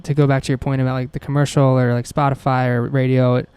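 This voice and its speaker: A man's voice: medium pitch, little pitch movement, fast talker, a little mellow, clean tone, dry recording. A young man from a band, telling stories in a loose, laid-back way. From Silver Spring, Maryland, US. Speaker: band member.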